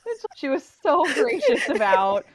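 A woman's voice: high-pitched, sing-song, a little slow. A woman in her thirties from northern New Jersey, a podcast guest who talks in a chatty, self-deprecating way and laughs often. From northern New Jersey, USA. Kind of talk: casual podcast interview.

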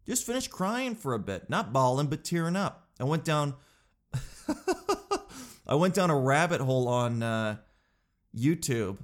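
A man's voice: mid-range voice, very animated, a little slow, pauses often. A man, stuffed up from crying, chatting casually to camera in a self-mocking way. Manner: chatty, self-mocking, says dude a lot. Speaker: vlogger.

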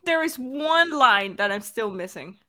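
Woman, bright sharp tone, slightly high pitch, informal director chat, animated, repetitive emphasis. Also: unhurried. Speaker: podcast director and editor. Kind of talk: casual creator Q&A chat.

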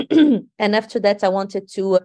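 A woman's voice: medium pitch, expressive, slow pace, mellow, no room sound. Reflective woman from Morocco, dry close sound. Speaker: guest. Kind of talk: conversational storytelling.

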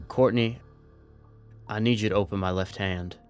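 A man playing a resigned, trapped soldier in an audio drama, speaking in a measured, earnest, persuasive way. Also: on the low side, fairly even intonation, a little mellow, clean tone, faint music behind, close to an everyday voice. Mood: calm explaining.

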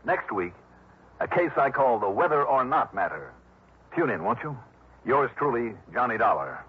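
An actor playing a hard-boiled, unsentimental investigator hero in a clipped, wry 1960s radio drama style. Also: male voice, mid-range voice, big pitch swings, normal speed, slightly rough. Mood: wry, settled. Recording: noisy, muffled old radio recording.

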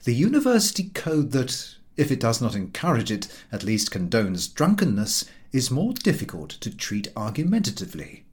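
A man narrating a literary essay as an audiobook reader, in measured, emphatic, oratorical phrasing. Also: medium pitch, huge pitch swings, husky.

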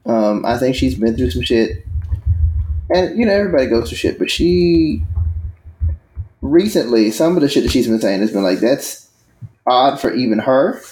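A man co-hosting a casual chat, talking emphatically and rising into sharp exclamations. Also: medium pitch, lively intonation, moderate pace, long pauses, poor audio. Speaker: podcast co-host.

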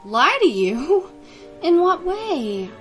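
A high, composed voice of a secretive young woman with a deadly trade. She stays eerily polite and controlled, with faint music beneath. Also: very slow, exaggerated voice. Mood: evasive. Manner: calm, controlled, chillingly polite. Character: cold, secretive young woman supplying human meat. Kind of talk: horror radio play acting.

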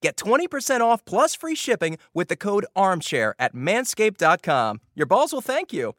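Male ad reader, playful and jokey, high and animated. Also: normal speed, no room sound, studio quality. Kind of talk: comic scripted ad read.

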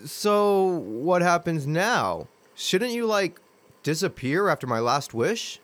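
Man voicing an incredulous, foul-mouthed young guy, wildly swinging pitch. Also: big pitch swings, slow pace, a bit bright, heavily acted. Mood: exasperated. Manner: crude, incredulous, swearing. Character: young man, exasperated genie master. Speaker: voice actor. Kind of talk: comedy audio drama.

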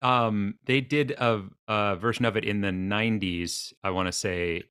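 A man's voice: fairly low pitch, steady tone, measured pace, a bit bright, smooth, no echo, clean studio sound. A man talking casually as a guest on a podcast. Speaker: podcast guest and quiz contestant. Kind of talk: casual chat.

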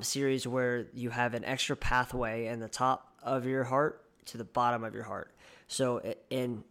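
A man's voice: medium pitch, a bit bright, clean tone. A young man with a somewhat nasal voice, hosting his own podcast and talking casually and earnestly, with frequent ums and restarts. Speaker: podcast host and online fitness coach. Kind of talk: casual solo podcast monologue.

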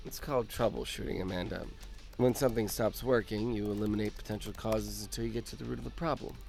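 A man's voice: lowish voice, fairly even intonation, fast, creaky, darkish tone. A man playing a cocky, irritable, obsessive computer hacker in an audio drama. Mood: insistent. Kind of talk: naturalistic audio drama acting.

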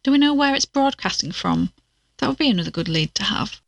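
A mid-pitched female voice in a very dry recording, an actress playing a troubled investigator in an audio drama. She is reticent and uneasy, questioning things while holding back. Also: animated, fairly quick, gravelly, no echo. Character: guarded, uneasy woman troubled by bad dreams.

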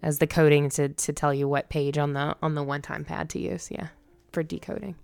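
A woman's voice: low-pitched, steady tone, brisk, vocal fry, a little mellow, no room sound. A woman co-hosting a podcast in casual, informal chat with some swearing. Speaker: podcast co-host.

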